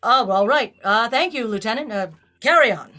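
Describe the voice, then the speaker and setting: Woman playing a bright, animated starship captain. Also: a little high, normal speed. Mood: flustered. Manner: theatrical, emphatic speechmaking. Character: bold, persuasive female starship captain. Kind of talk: fan audio drama acting. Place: remote virtual production.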